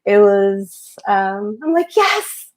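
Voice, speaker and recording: A woman who grew up speaking Spanish first, talking casually as a picture book author on a video call, animated and hesitant with many ums. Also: medium pitch, slow pace. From United States. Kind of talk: casual webinar talk.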